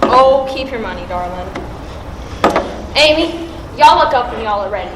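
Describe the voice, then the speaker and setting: A woman's voice: a little high, animated, moderate pace, close to an everyday voice. A woman playing a breezy, folksy, chatty aunt who runs a diner, in an amateur school play. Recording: echoey stage with clear noise.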